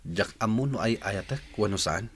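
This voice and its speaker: A man's voice: lowish voice, moderate pace, a little hiss or hum, close to an everyday voice. A man playing a young playboy ranch owner in a radio comedy drama, talking in a casual, wavering way.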